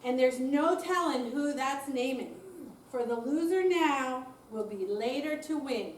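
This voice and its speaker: A woman's voice: sits high, unhurried, slight background noise. A woman giving a dramatic recitation of verse in a live readers theater performance. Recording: echoey room, distant mic.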